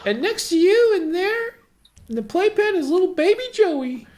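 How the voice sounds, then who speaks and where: A woman's voice: extremely high pitch, very animated, normal speed, darkish tone. A woman voicing a chatty, insistent 1950s mother of forty in a table read, chirpy and fussy. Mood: cheerful, insistent. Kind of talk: amateur table read of a film script.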